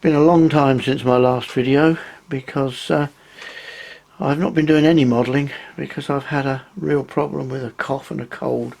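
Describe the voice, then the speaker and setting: A man with a croaky voice after a cold, casually explaining his model railway work, pausing often with ums. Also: medium pitch, steady tone, darkish tone. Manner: rambling, hesitant, many ums. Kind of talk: casual hobby tutorial.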